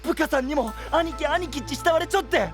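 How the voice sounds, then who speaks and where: An actor playing a kind-hearted, earnest boyish young knight with a Kyushu dialect in an anime-style audio drama. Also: male voice, very high-pitched, brisk, soft background music. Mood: pleading. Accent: Kyushu Japanese dialect.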